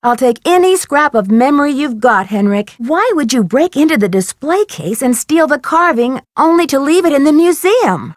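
A woman playing a friendly, earnest, inquisitive young female detective in an adventure video game, coaxing and questioning with clear diction. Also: high voice, no echo, studio quality. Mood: urgent, probing. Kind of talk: scripted adventure game dialogue.

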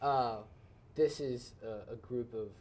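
A man's voice: mid-range voice, fairly even intonation, slow, a crowd in the background, voice pushed down, lightly acted. A young man voicing a pompous, self-important adventurer who talks in a boastful, rude way.

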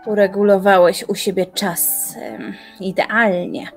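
An actress playing a determined, defiant young woman in an audio drama, arguing in measured, formal language. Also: mid-range voice, little pitch movement, soft background music. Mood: probing. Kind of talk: audio drama acting, literary dialogue.

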